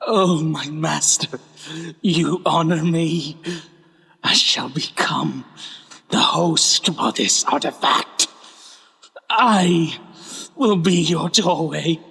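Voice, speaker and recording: Wrathful serpentine villain: gravelly creak, drawn-out theatrical menace, fantasy audio drama, scornful temper. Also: male voice, on the high side, fairly bright tone, heavily acted. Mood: reverent, gloating.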